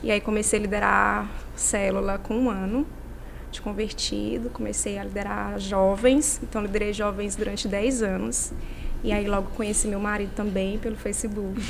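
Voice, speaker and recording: A woman's voice: mid-range voice, level tone, moderate pace, gravelly, a little hiss or hum. A woman pastor, telling her story steadily as a podcast guest. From Imperatriz, Brazil. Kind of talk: personal storytelling.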